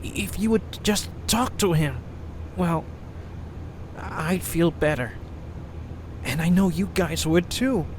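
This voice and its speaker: Amateur audio drama: male actor as a gentle, clumsy little flyer, slow and soft-spoken, light hiss. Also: high voice. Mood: wistful. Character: small clumsy propeller-flying male creature, gentle.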